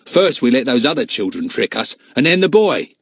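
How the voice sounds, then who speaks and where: A fierce male crook in a children's storybook, put on by a man narrating. He snaps out bitter accusations with a raised, swooping pitch. The recording is dry and close. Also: very animated, normal speed, no room sound. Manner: snapping, accusing.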